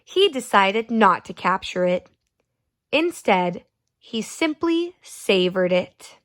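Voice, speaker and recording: Animated woman reading a storybook to children. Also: mid-range voice, slow, no room sound, clean studio sound. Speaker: teacher reading aloud to children. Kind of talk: expressive storybook read-aloud with questions to children.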